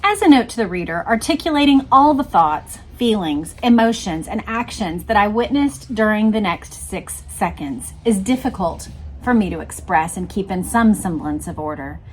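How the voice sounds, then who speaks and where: A woman's voice: medium pitch, expressive, normal speed, husky, low-quality recording. A woman reading a book aloud and breaking off to explain and point things out to the viewer. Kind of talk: read-aloud narration with explanatory commentary.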